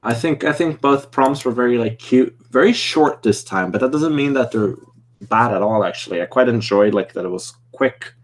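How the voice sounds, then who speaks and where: A man's voice: medium pitch, moderate pace. A man chatting informally and easily as a guest on a casual live stream.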